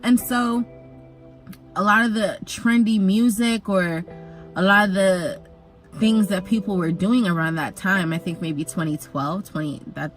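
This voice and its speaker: A young woman who grew up in Jamaica, telling a personal story in a chatty, animated vlog with jokey asides. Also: mid-range voice, measured pace, soft background music. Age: young adult. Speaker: vlogger.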